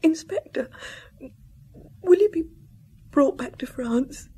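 A woman's voice: high-pitched, expressive, slow pace, warm tone. A woman playing a grieving, timid young widow in a radio drama. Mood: tearful, pleading. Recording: noisy old radio broadcast.

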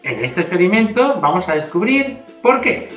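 A middle-aged man with a Spain Spanish accent teaches a science experiment, giving clear instructions to children. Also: sits high, sing-song, moderate pace, a bit bright. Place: home kitchen, indoors. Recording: roomy, distant, dull kitchen audio. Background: background music.

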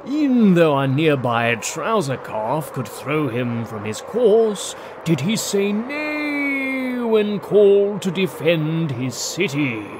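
An actor playing a pious, sanctimonious preacher rallying his brothers haltingly in archaic speech, in a comic audio drama. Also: on the high side, very animated. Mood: earnest, preachy. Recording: noisy ambience behind the voice.

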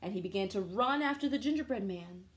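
A young woman reading a children's storybook aloud at home in an expressive, playful way. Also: medium pitch, brisk. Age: young adult. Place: small living room at home.

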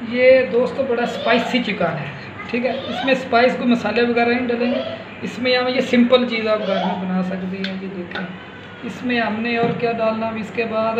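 A man's voice: high-pitched, normal speed. A man casually demonstrating a recipe, explaining it step by step and repeating himself. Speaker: home cook presenting a recipe. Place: small hard-walled home kitchen. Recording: dull, echoey phone recording, loud sizzling noise.

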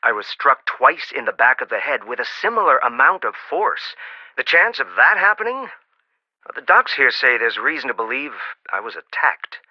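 A stern hospitalized archaeologist. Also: male voice, medium pitch, sing-song, normal speed, no room sound. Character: injured male archaeologist in hospital, stern and proud. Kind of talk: video game voice acting. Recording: slightly dull, dry studio sound.

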